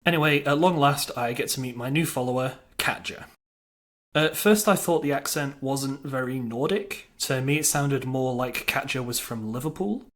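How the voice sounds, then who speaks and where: A man's voice: mid-range voice, normal speed, fairly bright tone. A man delivering scripted narration for a video game review, with a wry, dryly humorous manner.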